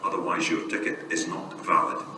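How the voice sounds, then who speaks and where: Male announcer, scripted public information, formal read, far from the mic with clear noise around him. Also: moderate pace. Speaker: recorded station announcer. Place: railway station, heard over public address speakers. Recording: distant, echoey, noisy station tannoy. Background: station ambience and noise.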